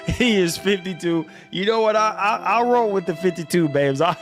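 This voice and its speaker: A man's voice: sits high, normal speed, a bit bright, soft background music. A man in casual livestream chat, speaking emphatically in slang and repeating himself as he goes. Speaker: livestream host.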